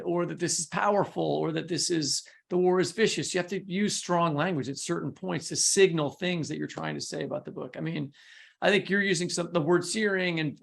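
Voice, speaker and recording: A man's voice: on the high side, creaky. A man co-hosting a podcast interview in an informal, encouraging way, often circling toward his point. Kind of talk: casual interview chat.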